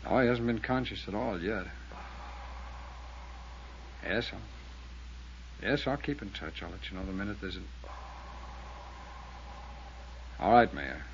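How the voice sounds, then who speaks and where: An actor playing an earnest, worried city attorney in 1950s radio drama style. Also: male voice, fairly low pitch, a little slow, long pauses. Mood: polite. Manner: earnest and worried, repeating himself. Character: fretful male city attorney. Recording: hissy muffled old radio recording.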